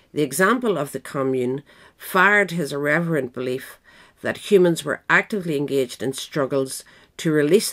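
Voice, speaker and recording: A woman reading scripted nonfiction narration as an audiobook reader. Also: low voice. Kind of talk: scripted narration of a political history essay.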